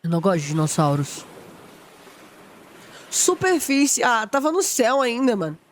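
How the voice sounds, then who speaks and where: A woman's voice: fairly high pitch, very animated, normal speed. A woman with a Brazilian accent giving informal, slangy reaction commentary as host of a video. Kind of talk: casual spoken reaction commentary.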